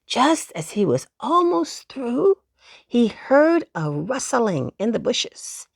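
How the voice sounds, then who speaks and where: Female storyteller for children's tales: high voice, very animated, unhurried, close dry sound. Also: vocal fry, no echo. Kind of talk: expressive children's story narration.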